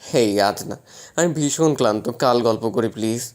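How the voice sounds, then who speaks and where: A man's voice: mid-range voice, moderate pace, darkish tone, close to an everyday voice. Man acting a mild, bemused new husband. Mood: tired, bemused. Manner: hesitant, mildly protesting, indulgent. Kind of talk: romantic audio story acting.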